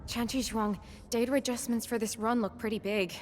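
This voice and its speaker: Woman playing frustrated researcher, raised pitch, blunt, pressing, game acting, hall reverb. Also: fairly even intonation, fairly quick, darkish tone, lightly acted. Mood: frustrated, concerned. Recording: long hall reverb, faint lab noise.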